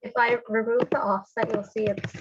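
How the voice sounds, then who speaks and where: A woman with a congested cold voice, explaining a software technique step by step in a screen-share tutorial over a video call. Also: on the high side, flat delivery, moderate pace, muffled recording, background noise. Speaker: developer demonstrating a technique.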